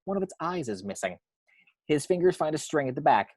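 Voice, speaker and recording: A man's voice: fairly high pitch, sing-song, a little mellow, no room sound. Man reading screenplay narration, dry close sound. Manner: measured, descriptive reading with occasional stumbles. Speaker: narrator reading action description at a script table read.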